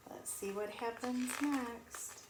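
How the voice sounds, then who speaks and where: A woman teacher reading a storybook aloud to young children in an animated, sing-song way, pausing to ask them questions. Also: fairly high pitch. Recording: echoey room with faint noise.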